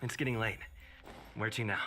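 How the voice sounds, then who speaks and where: A man's voice: on the low side, fairly even intonation, normal speed, music in the background, close to an everyday voice. A man voicing a laid-back young male adventurer in a game who makes short, offhand remarks.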